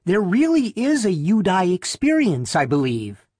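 A man's voice: high voice, huge pitch swings, measured pace, a little mellow, slightly rough, no room sound, clean studio sound, voice pushed up, exaggerated voice. A man voicing an earnest, anxious but stubborn young wife in an audiobook story. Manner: earnest, hesitant.

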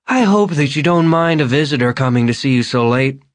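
A man's voice: mid-range voice, lively intonation, brisk, no echo, voice pushed up, lightly acted. A man voicing a drunk, disheveled middle-aged man who rambles, slurs and swings between overly polite and maudlin. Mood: tipsy, needy. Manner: slurred, wandering, overly polite then maudlin.

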